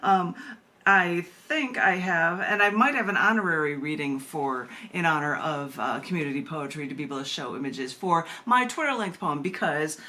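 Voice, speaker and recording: A woman poet reading at a live open mic, animated and self-amused, with rambling, chatty asides. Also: deep voice, moderate pace.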